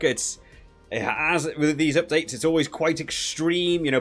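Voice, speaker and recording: A man's voice: on the high side, fairly bright tone. A man hosting a video game review with casual, rambling, conversational commentary.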